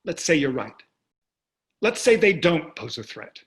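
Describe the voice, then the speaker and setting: A man's voice: fairly high pitch, sing-song, a little slow, a bit bright. A man playing a smug, callous wealthy husband in a staged play reading, sardonic and condescending. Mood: dismissive, cold.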